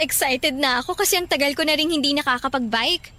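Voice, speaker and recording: A woman's voice: high voice, lively intonation, normal speed, pushed and bright, faint music behind. An actress playing a cheerful, sweet young female student with a playful, teasing manner in a radio-style drama.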